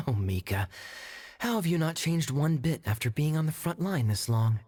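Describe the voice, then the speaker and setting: A man voicing a suave, teasing knight captain in a video game, smooth-talking, sly and playful. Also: on the high side, big pitch swings, a little slow, a little mellow, slight background noise.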